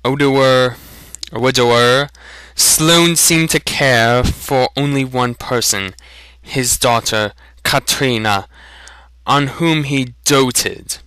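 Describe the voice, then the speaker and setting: A man giving a dramatic, expressive narration of a fantasy storybook in a deliberate manner. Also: medium pitch, clean tone, no room sound, poor audio. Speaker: reader of a fan dramatic reading.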